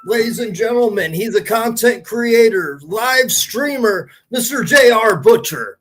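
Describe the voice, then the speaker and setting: A man's voice: extremely high pitch, expressive, measured pace, fairly bright tone, soft background music. A man hosting a casual live podcast, with a showy, announcer-like way of talking. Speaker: podcast host.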